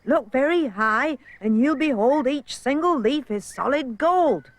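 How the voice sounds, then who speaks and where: A man voicing an excited young boy in a storybook. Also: extremely high pitch, a little slow, voice pushed up, heavily acted. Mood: eager.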